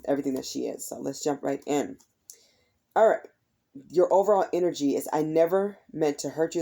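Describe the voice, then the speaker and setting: Woman tarot reader, slightly raspy, mellow dark tone, chatty and direct with viewers. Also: very deep voice. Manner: chatty, repeats "is what I'm hearing". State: voice tired from heavy use. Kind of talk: casual tarot reading to viewers.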